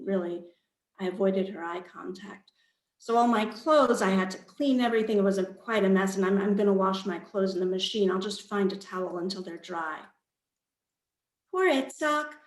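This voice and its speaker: Woman author in an echoey room. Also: medium pitch. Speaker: author at a literary event. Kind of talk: reading own fiction aloud, then panel talk. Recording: roomy echo.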